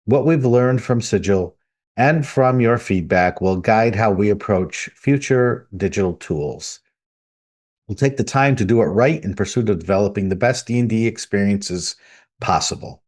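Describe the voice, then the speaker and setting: A man co-hosting a tabletop gaming podcast, reading out news items in a steady, measured way. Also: lowish voice. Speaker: podcast co-host.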